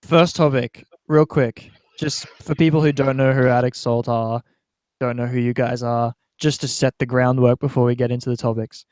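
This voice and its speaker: A man's voice: medium pitch, flat delivery, moderate pace, a little mellow, clean tone, no room sound. A man hosting a casual podcast chat. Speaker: podcast host.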